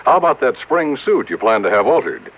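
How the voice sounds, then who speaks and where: Animated male American radio announcer. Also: medium pitch, fairly quick. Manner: formal, rhetorical, emphatic. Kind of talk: 1950s scripted radio announcement. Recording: narrow, tinny vintage radio recording.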